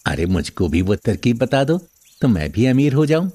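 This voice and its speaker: A man playing a greedy, envious village neighbour in an animated moral story, with an eager, pushy manner. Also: mid-range voice, moderate pace.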